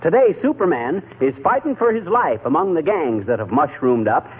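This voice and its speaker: A man's voice: a little high, big pitch swings, normal speed, slightly rough. An actor playing a blustery, flustered but good-hearted everyman husband in 1940s radio comedy, folksy and given to stammering. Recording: muffled, noisy 1940s radio recording.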